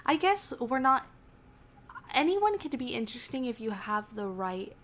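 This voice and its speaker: A woman's voice: a little high, unhurried. A young woman, a mother, co-hosting a podcast in easygoing chat that often trails off. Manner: relaxed, agreeable, trails off. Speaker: podcast co-host. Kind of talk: casual chat.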